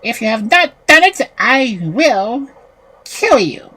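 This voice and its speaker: A woman voicing a cruel, jealous old witch who talks in a shrill, menacing way in a storybook reading. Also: big pitch swings, slow pace, sharp tone, breathy edge, heavily acted. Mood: furious.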